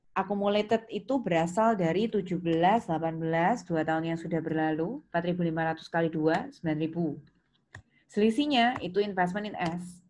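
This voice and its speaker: A woman's voice: on the low side, darkish tone. A woman with an Indonesian accent teaching step by step like a lecturer, in a didactic way with questions and reminders. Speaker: accounting lecturer. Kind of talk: step-by-step lesson with worked examples. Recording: clear background noise, keyboard clicks.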